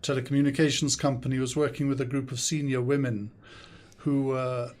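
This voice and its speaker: An older man born in South Africa and raised in the UK, speaking with an English accent, answering interview questions in a studio in a thoughtful, measured way with frequent hesitations. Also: mid-range voice, little pitch movement, darkish tone. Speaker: guest, consultant and coach. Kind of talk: podcast interview.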